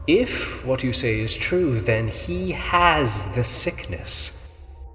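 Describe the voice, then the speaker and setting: Scornful vampire elf, echoing hall. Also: male voice, mid-range voice, animated, very slow, sharp tone, faint music behind, exaggerated voice. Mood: questioning. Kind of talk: dark fantasy audio drama acting. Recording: echoey hall reverb, faint noise.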